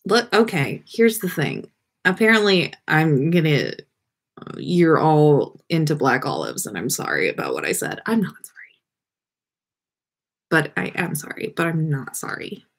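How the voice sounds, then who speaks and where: Lively, unhurried woman chatting on a livestream. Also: low-pitched, slightly rough. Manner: playful, rambling, thinking aloud. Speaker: livestream podcast host. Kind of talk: casual livestream chat with viewers. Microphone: webcam livestream setup.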